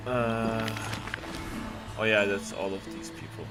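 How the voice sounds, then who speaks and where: Low-voiced man reacting to a game. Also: fairly even intonation, slow. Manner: informal, slangy, thinking aloud. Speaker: gaming streamer. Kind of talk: casual game reaction commentary. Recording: loud music behind. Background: loud game music.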